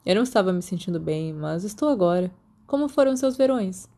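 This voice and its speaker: A mild, reserved teenage schoolboy, voiced by a woman narrator with a Brazilian Portuguese accent. He is polite and understated, with a mellow, relaxed tone, as dialogue in an audiobook. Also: medium pitch, a little fast, lightly acted.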